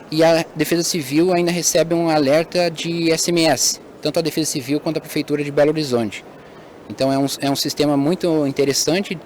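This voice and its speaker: A man's voice: fairly high pitch, a little hiss or hum. A man presenting his company's monitoring system in a webinar, hesitant with fillers and self-corrections.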